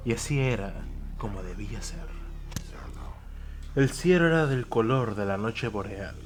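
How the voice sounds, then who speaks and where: A man narrating a war tale as a dramatic audiobook, measured, solemn and emphatic. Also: lowish voice, expressive. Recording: music behind the voice.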